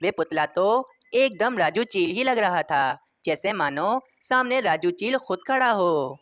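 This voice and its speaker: A woman's voice: mid-range voice, expressive, a little slow, a bit bright. A woman reading scripted narration for a children's story.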